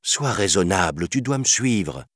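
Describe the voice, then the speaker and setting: A protective she-panther from a storybook , voiced by a man, firm and earnest. The pitch is lifted and moves expressively as the guardian reasons and insists. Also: animated, measured pace, fairly bright tone, dry recording, studio quality, full character voice. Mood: exasperated. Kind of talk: children's storybook reading.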